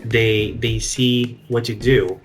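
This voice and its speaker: A man's voice: medium pitch, level tone, slow pace, faint music behind. A man from Venezuela hosting a casual interview over a video call, earnest and encouraging, often restarting his sentences. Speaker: interview host. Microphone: video call mic.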